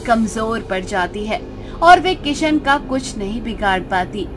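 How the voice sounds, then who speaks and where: A woman's voice: on the high side, animated, unhurried, music in the background. A woman narrating a scripted story as storyteller.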